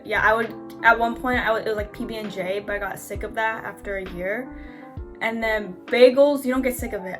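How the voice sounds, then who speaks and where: Rambling young woman, higher voice. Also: fairly bright tone. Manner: rambling, frequent "like" fillers. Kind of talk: casual chat.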